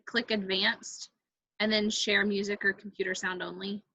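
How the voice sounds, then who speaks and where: A woman's voice: mid-range voice, level tone, normal speed, bright, forceful tone, no room sound, dull audio. A woman explaining things casually on a video call.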